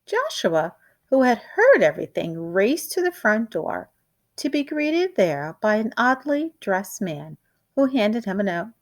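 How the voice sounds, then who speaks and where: Female storybook reader, teacherly and expressive, unhurried pace, deliberate dramatic delivery for young children. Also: fairly high pitch. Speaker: teacher reading a picture book aloud.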